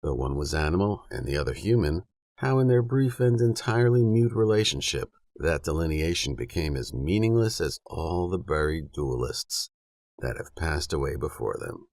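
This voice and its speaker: A man reads literary western fiction as an audiobook narrator, with a very deep, gravelly voice. His delivery is measured and dramatic, with lively rises and falls in pitch, over faint music. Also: no echo, clean studio sound.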